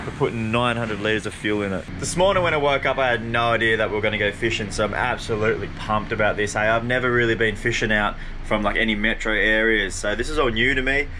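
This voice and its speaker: A young Australian man hosting a casual vlog to camera, excited and slangy, filming himself with a handheld action camera in a boat workshop and out on the water. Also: fairly low pitch, fast talker, few pauses, slight background noise. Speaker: vlog host. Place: boat workshop, then outdoors on a boat.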